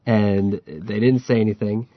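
A man's voice: on the low side, steady tone, measured pace, no room sound, muffled recording. A man hosting a podcast, chatting casually and telling stories with a laugh. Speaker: podcast host. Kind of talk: casual chat about learning Chinese.

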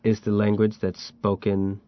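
Chatty low-voiced man telling stories. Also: completely flat delivery, measured pace, no room sound, muffled recording. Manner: chatty, storytelling, laughs while telling. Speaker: podcast host. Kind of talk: casual chat about learning Chinese.

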